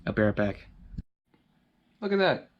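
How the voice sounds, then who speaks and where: A man's voice: lowish voice, sing-song, a little slow, dull audio. A man hosting a casual DIY video, narrating informally and thinking aloud as he works with his hands. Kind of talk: casual how-to narration while working. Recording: clear background noise with handling clicks.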